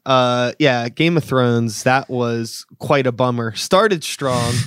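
A man in his late thirties hosting a laid-back interview, rambling and chatty with lots of fillers and the occasional curse. His voice sits at an ordinary middle pitch. It is recorded close and dry, with no room sound. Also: slow pace, fairly bright tone, clean tone, no room sound. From Pittsburgh area, USA. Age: 30s. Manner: loose and chatty, heavy filler "like", swears casually. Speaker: podcast host. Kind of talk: casual interview chat.

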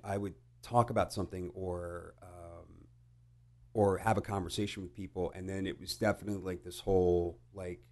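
A middle-aged American man telling personal stories casually, halting and reflective, with some swearing. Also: low voice, darkish tone. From United States. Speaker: podcast guest. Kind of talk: casual conversational storytelling.

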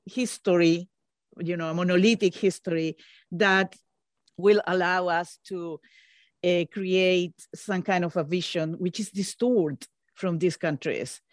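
A woman's voice: fairly low pitch, steady tone, measured pace, no echo. A woman in her fifties from Chile with a Chilean Spanish accent, hosting an interview over a video call and searching for her words with many fillers. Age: 50s.

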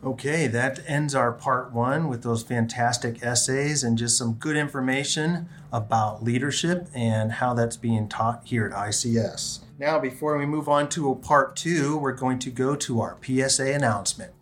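A man's voice: mid-range voice, moderate pace, few pauses, fairly bright tone. A man hosting a podcast, speaking in a presenter's linking style between segments. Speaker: podcast host. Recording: clear room noise and ambience.

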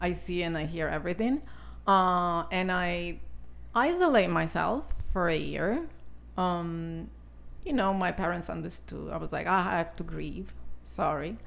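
A woman in her sixties from Italy, speaking English with an Italian accent as a podcast guest, talking in a halting way with many fillers and restarts. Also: fairly low pitch, vocal fry, a bit bright. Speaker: podcast guest, spiritual teacher. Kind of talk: casual conversation.

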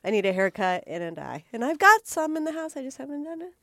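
A woman's voice: sits high, quick pace, dry recording, clean studio sound. Chatty woman podcast co-host. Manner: chatty, animated storytelling. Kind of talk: casual chat.

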